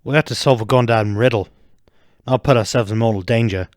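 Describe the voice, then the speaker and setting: A man's voice: medium pitch, fairly quick, no echo, clean studio sound, natural voice. A man acting a studious, wary teenage schoolboy in a fan fiction audio drama, with a sceptical, grudging manner. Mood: exasperated.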